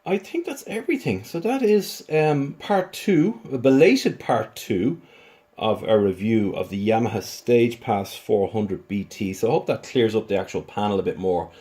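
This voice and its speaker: A man talking through a casual, unscripted product review as a reviewer, in a hesitant, halting way with repeated words. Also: fairly low pitch, expressive, warm tone.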